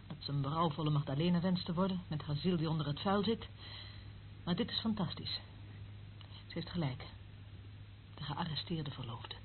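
An actress playing a cynical female eavesdropper in a radio play, sardonic and theatrical, relishing what she knows. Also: deep voice. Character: cynical, gloating female eavesdropper of a secret service. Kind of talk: radio drama acting. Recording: narrow tinny old radio recording, faint noise.

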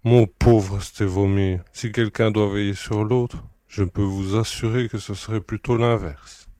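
An actor playing an ironic, commanding admiral of a space empire in a French audio drama, courteous and wry. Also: male voice, lowish voice, fairly even intonation, unhurried, mellow, no echo, clean studio sound, natural voice. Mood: wryly amused.

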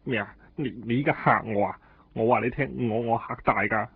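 A man with a Hong Kong Cantonese accent voicing a defiant, indignant stray dog in a radio drama, with a husky voice. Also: mid-range voice, brisk. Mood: blustering. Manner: indignant, stammering when threatened. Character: defiant stray male dog talking back to his keeper. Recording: dull, lo-fi 1990s radio recording.

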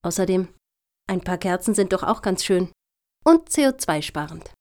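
An actress playing a well-meaning, slightly slow woman panelist in a satirical radio play. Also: fairly low pitch, animated, a little mellow, lightly acted.

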